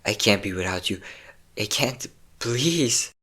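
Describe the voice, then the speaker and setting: A man's voice: fairly low pitch, lively intonation, takes it slowly, sharp tone, full character voice. A man playing a possessive, obsessive vampire lover in an intimate, dramatic roleplay audio drama. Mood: desperate pleading.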